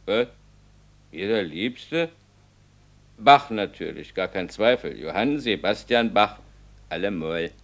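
An actor in a radio play as an absent-minded organist husband, dreamy and distracted. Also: male voice, lowish voice, lively intonation, slow, pauses often.

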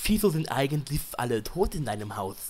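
A man's voice: mid-range voice, big pitch swings, fairly quick, darkish tone, slight background noise, natural voice. A man playing a silly, nagging online game player in a parody audio drama. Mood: cheeky.

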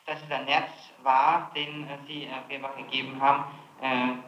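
A man's voice: medium pitch, little pitch movement, moderate pace. A man questioning someone formally as a prosecutor, pressing and confrontational, on an old archival tape. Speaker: public prosecutor interrogating. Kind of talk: formal interrogation. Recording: dull, echoey, noisy 1960s archival tape.

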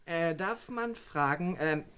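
An actor playing a muddled, blustering British lord in a vintage radio crime comedy, hesitant and stammering. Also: male voice, a little high, lively intonation, takes it slowly, fairly bright tone, a little hiss or hum. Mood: dithering. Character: blustering, dithering British lord leading Scotland Yard.

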